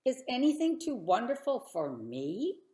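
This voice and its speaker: A woman voicing God as a loving, playful storybook character, speaking in a grand, deliberate and emphatic way. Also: fairly high pitch, huge pitch swings, a little mellow, echoey room, voice pushed up. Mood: gently challenging.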